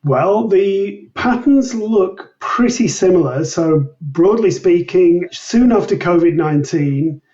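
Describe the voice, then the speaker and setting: A man explaining research findings as an interview guest in a measured, methodical manner. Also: sits high, expressive, warm tone. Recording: distant mic, dull lo-fi sound.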